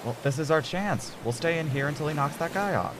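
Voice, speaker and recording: A man's voice: a little high, animated, brisk, background noise. A man playing a brave, defiant young hostage in an audio drama, with an urgent, headstrong delivery.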